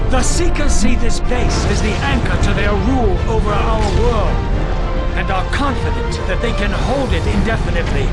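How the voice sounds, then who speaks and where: An actor playing an alien military commander in a game cutscene, giving solemn, declamatory battle oratory. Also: male voice, very high-pitched. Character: rousing alien military commander addressing his troops. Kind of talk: dramatic game cutscene speech.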